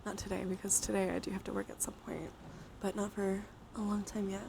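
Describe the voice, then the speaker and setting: A woman live streamer speaking softly, often in a whisper, in a gentle ASMR style mixed with casual chat. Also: mid-range voice, brisk. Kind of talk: ASMR, soft chatty livestream talk. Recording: clear steady background noise.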